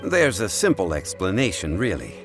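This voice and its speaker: A learned, courteous gentleman in a video game. His voice is very low and moves expressively, with formal, measured diction and quiet authority. Music plays clearly behind him. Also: wildly swooping pitch, exaggerated voice. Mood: calm, assured.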